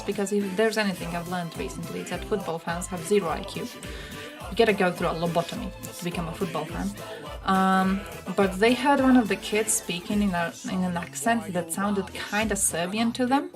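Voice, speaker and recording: Blunt, sweary young woman streamer. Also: mid-range voice. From Croatia. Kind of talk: casual livestream chat. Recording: music playing in the background. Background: music playing.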